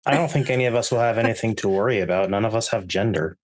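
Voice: mid-range voice, rapid-fire. A player in a tabletop game, chatting casually with dry wisecracks.

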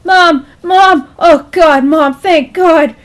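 A woman's voice: extremely high pitch, very slow, voice pushed up, exaggerated voice. A woman voicing a nervous, pleading young man in a storybook tale, with a whiny manner. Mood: giddy relief. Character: panicked, pleading young man trapped inside sea lion. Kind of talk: acted storytelling dialogue.

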